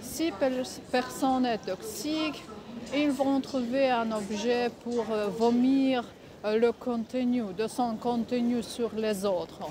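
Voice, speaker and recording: A woman speaking French that is not her native language, giving casual advice in a vlog, halting often to search for words. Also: fairly high pitch, steady tone, a crowd in the background. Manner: halting, many filler pauses, searching for words. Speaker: vlogger.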